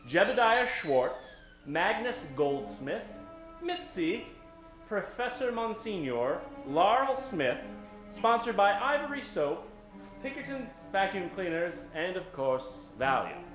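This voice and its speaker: A man's voice: sits high, big pitch swings, takes it slowly, over music. A man emceeing a live improv show on stage in a playful, theatrical manner. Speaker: improv show emcee taking audience suggestions. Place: stage before a live audience. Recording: roomy live stage recording.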